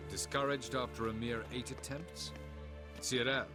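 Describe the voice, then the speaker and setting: An actor playing an ancient, stern elf sage in a fantasy game, speaking formally in a measured, condescending way. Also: male voice, medium pitch, fairly even intonation, music in the background, lightly acted. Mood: stern, disdainful. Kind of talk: video game voice acting.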